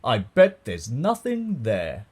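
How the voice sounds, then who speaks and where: A man's voice: medium pitch, wildly swooping pitch, takes it slowly, a little mellow, slightly rough, full character voice. A man voicing an overbearing, scoffing tyrannosaur father in a storybook, scolding and dismissive.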